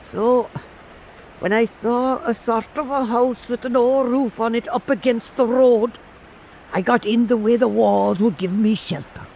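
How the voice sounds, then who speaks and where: Man playing a slow, high-voiced old storyteller. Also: fairly even intonation, gravelly, a little mellow, a little hiss or hum, exaggerated voice, poor audio. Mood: hushed, suspenseful. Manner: slow, deliberate oral storytelling with pauses. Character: old Irish island storyteller spinning eerie folk tales. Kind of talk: dramatic folk-tale narration.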